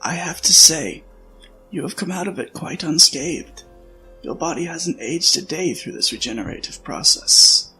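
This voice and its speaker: Man acting a creaky, sharp-voiced old scientist. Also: a little high, lively intonation, heavily acted, rough recording. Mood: gently apologetic. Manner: measured, explanatory, deliberate. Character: old, worn male scientist, weary, reflective friend. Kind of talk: science fiction audio drama acting. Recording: music under the voice.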